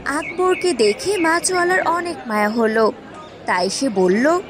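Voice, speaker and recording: A woman narrating a scripted cartoon fairy tale in an expressive storytelling style. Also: high voice, a little slow, a crowd in the background.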